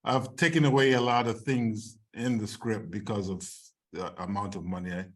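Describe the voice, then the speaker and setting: A man with a fairly low voice talks as a screenwriter and filmmaker. He gives informal, conversational answers in an online question-and-answer session. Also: fairly even intonation, normal speed. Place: online video call.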